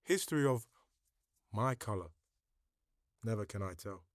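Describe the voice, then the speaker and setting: A man giving a dramatic poetry reading, deliberate, with pauses between short phrases. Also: lowish voice, expressive, no room sound, studio quality. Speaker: poetry reader.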